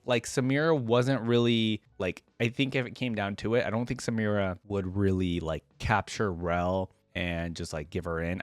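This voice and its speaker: A man with a fairly low voice talks very quickly in a close, dry recording. He hosts a reaction video, moving between reading text aloud and casual, conversational commentary of his own. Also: dry recording. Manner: informal, conversational asides.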